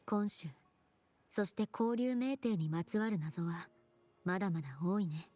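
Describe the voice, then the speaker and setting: A woman's voice: mid-range voice, fairly quick, warm tone, close to an everyday voice. A woman voicing a composed, thoughtful young female companion in a video game. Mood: pensive. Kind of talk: Japanese video game voice acting. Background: game music.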